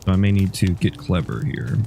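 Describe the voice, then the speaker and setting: A man's voice: low-pitched, lively intonation, a little fast, gravelly, warm tone, a little hiss or hum. A sniffly man, a game developer, talking casually through his code and rambling as he thinks aloud. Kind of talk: casual dev log.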